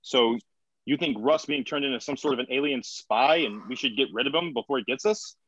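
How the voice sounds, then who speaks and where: A man playing a gruff, sarcastic, short-tempered colony administrator in a screenplay table read. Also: medium pitch, a little fast, a bit bright, no room sound. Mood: irritated, sarcastic. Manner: curt, sardonic, foul-mouthed. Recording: dull lo-fi audio.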